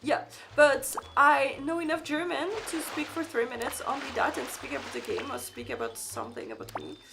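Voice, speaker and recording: A woman's voice: high-pitched, expressive, normal speed, creaky, background noise. A woman streaming a game live, chatting casually with viewers and reading their messages aloud, with frequent fillers and restarts; English is not her first language. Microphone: desk microphone.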